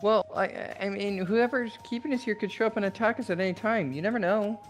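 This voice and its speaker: A woman's voice: mid-range voice, a little fast, soft background music, lightly acted. A woman reading visual novel dialogue aloud as a friendly, earnest teenage schoolgirl.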